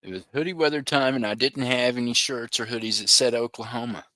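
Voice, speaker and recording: A man's voice: mid-range voice, steady tone, fairly quick, sharp tone, dry recording. A middle-aged man hosting a live auction stream, chatting and joking with viewers by name. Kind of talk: casual livestream chat.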